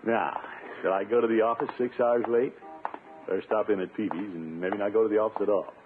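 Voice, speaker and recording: A man's voice: lowish voice, fairly even intonation, brisk, lightly acted. A man playing a pompous, blustering middle-aged uncle in 1950s radio comedy style, indignant and self-important. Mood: self-satisfied. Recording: tinny, noisy 1950s radio recording.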